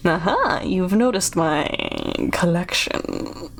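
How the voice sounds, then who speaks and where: A woman's voice: fairly low pitch, animated, slow pace, a little hiss or hum. A woman voicing a creepy, hunched male innkeeper in a video game, with sinister politeness, a lisp and oddly stressed words. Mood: polite, sinister. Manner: lisping, oddly emphasized words. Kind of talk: video game character read-aloud.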